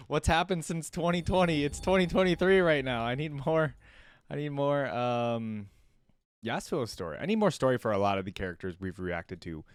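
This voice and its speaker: A man's voice: mid-range voice, no room sound. A man hosting a reaction video, commenting casually in informal, slangy fan talk.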